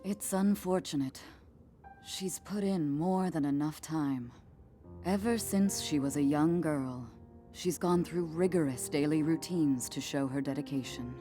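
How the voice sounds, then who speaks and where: A woman playing a poised, protective warrior in a video game, speaking in a measured, knowing and solemn manner. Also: low voice, dark tone. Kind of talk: video game cutscene acting.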